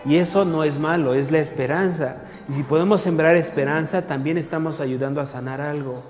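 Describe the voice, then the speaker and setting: A man with a slightly high voice talks thoughtfully in a roomy hall with a long echo. Soft music plays behind him as he guides the group. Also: a little fast. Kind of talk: reflective group talk.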